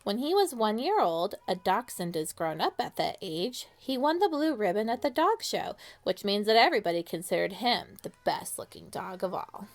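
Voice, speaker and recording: Animated woman reading a picture book aloud. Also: medium pitch, slight background noise. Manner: expressive, with dramatic pauses and questions to listeners. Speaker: children's storytime reader.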